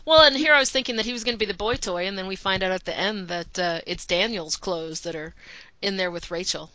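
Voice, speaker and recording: A woman from Texas with a mild Texan accent, co-hosting a casual podcast, chatty and quick to laugh. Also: medium pitch, dry recording, rough recording. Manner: chatty, laughs often, animated asides. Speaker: podcast co-host.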